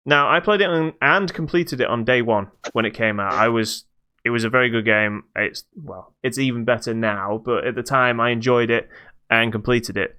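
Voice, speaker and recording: Clear, bright-voiced male podcast co-host. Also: medium pitch, moderate pace. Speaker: podcast co-host reviewing games. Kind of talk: casual unscripted game review chat.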